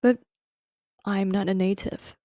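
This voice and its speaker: Slow, mellow-voiced woman with Thai accent. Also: medium pitch, fairly even intonation, no echo, clean studio sound. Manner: careful, deliberate non-native English with self-corrections. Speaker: podcast host. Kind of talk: solo book-summary podcast.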